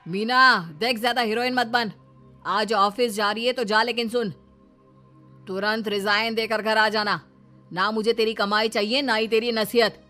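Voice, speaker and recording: A woman's voice: fairly high pitch, fairly even intonation, normal speed, pauses often. A woman voicing a hot-headed, easily swayed young husband in a moral story cartoon, domineering and scolding. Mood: angry. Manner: loud, scolding. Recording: background music.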